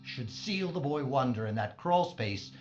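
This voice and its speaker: An actor playing a fanatical, grandiose mad scientist villain who monologues theatrically in a science fiction audio drama, with a dull recording. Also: male voice, medium pitch, animated, a little slow, over loud music, close to an everyday voice. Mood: menacing, gloating. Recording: dull band-limited sound.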